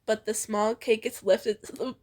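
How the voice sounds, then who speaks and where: A woman's voice: on the high side, steady tone, normal speed, darkish tone. A young woman reading fan fiction aloud informally with a friend, in a playful, giggly manner. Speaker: amateur fan fiction reader.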